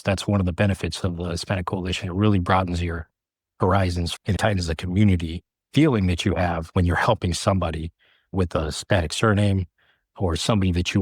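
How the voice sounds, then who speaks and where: A man in his late thirties from California, telling his own career story in an interview, earnestly and in short measured phrases. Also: deep voice, slightly rough, no room sound, clean studio sound. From California, United States. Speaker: air traffic controller, podcast guest.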